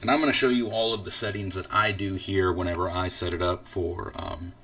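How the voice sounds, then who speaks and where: A man with a fairly low voice talks through a software tutorial. He explains each step in an informal, casual way. He sounds set back from the microphone. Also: fairly even intonation, brisk, recorded from a distance. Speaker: tutorial host. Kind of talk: casual screen-recorded software walkthrough.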